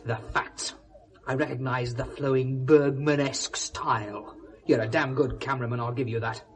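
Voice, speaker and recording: A man's voice: medium pitch, fairly even intonation, moderate pace, background noise, close to an everyday voice. An actor playing a scornful, articulate English man who bitterly accuses, in 1970s British radio drama style. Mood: cold, accusing.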